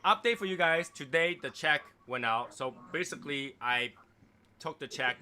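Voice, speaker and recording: A man's voice: fairly high pitch, normal speed, pushed and bright, slight background noise. A man hosting a casual livestream chat, rambling and teasing his guests with a self-mocking humor. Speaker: livestream host.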